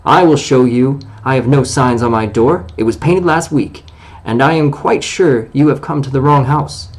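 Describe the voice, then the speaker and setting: A man's voice: deep voice, fast talker, a little mellow. A man voicing a nervous, fussy little male hobbit in a storybook reading. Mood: indignant.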